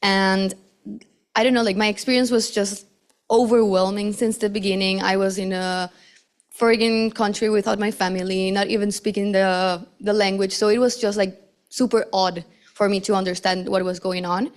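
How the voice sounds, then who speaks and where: A woman's voice: medium pitch, fairly even intonation, normal speed. A young woman in her twenties from Costa Rica, sharing her own story on a conference panel in a casual, chatty way full of fillers. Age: 20s. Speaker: patient panelist. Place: conference hall.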